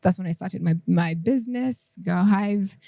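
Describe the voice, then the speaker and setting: A young woman from Uganda, a guest in a casual interview, talking earnestly and sometimes quickly, often retelling her own thoughts aloud. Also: mid-range voice, vocal fry, warm tone, no echo, studio quality.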